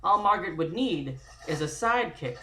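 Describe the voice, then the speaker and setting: A woman's voice: fairly low pitch, expressive, normal speed, bright, forceful tone, slight background noise. A woman hosting a live-streamed table read, introducing it and narrating from a script.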